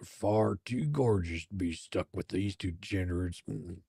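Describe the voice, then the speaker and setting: A man acts out a cocky male chef from a romance drama, low and gravelly. He drawls his flirtations slowly, arrogant and full of mischief. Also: mellow, no room sound, studio quality, heavily acted. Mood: flirtatious. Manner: smooth, drawling, teasing.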